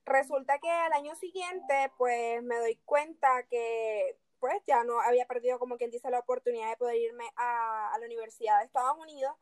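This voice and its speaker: A young Venezuelan woman in her twenties whose accent has softened toward Puerto Rican Spanish, chatting casually as a guest with lots of fillers and laughs. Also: a little high, moderate pace, few pauses. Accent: Puerto Rican-influenced Venezuelan Spanish. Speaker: athlete guest. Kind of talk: casual interview over a call.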